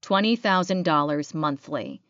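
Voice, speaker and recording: A woman voicing a businesslike male werewolf alpha in an audiobook, measured and matter-of-fact. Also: low voice, fairly bright tone, clean tone, no room sound, dull audio.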